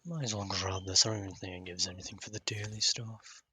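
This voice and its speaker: A man's voice: low-pitched, fairly even intonation, quick pace, sharp tone, husky. A man livestreaming a game with casual, offhand commentary, muttering to himself. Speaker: game streamer.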